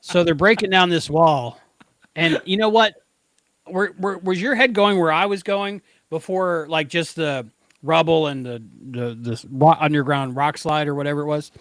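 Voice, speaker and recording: A man's voice: on the high side, moderate pace, a bit bright, no room sound. A man chatting casually as a podcast co-host, discussing things in a loose conversational style. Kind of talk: casual chat, episode discussion.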